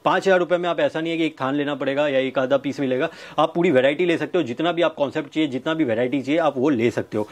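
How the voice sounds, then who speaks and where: A man in his thirties giving a sales pitch to camera, listing things with repetitive emphasis. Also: quick pace, few pauses. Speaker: fabric manufacturer's representative presenting stock. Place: fabric showroom indoors. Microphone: clip-on wireless lapel mic.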